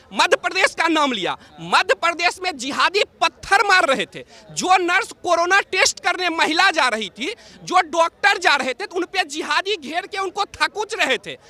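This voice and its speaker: A man's voice: very high-pitched, bright, forceful tone. A man making an impassioned political argument in a street debate, combative and full of rhetorical questions. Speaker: member of the public in a street debate.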